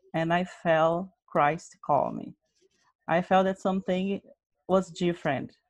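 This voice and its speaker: A woman's voice: on the low side, a little mellow, no echo. Earnest woman, dry sound. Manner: earnest, simple non-native phrasing. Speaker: interview guest, Bible study leader. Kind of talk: personal testimony in an interview.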